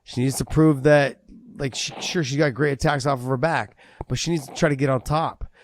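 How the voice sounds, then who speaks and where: Male podcast co-host, mid-pitched, animated and wordy, dry studio mic. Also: a little fast, dry recording. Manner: animated, repeats words as he builds a point. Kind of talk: casual MMA podcast chat.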